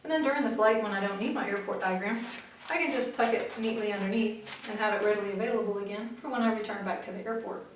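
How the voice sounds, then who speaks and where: A middle-aged woman flight instructor, calmly explaining practical tips to camera in a methodical way. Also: medium pitch, fast talker, slight background noise. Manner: clear, methodical, practical advice. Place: small studio room in front of a backdrop, seated. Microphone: far camera mic. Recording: very echoey room, mic far off.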